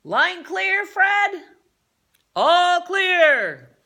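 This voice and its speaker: Storybook coast guard leader, played by a woman, urgent and commanding, hollering orders high and hard. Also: sing-song, very slow, slight background noise, heavily acted. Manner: urgent, loud calling out.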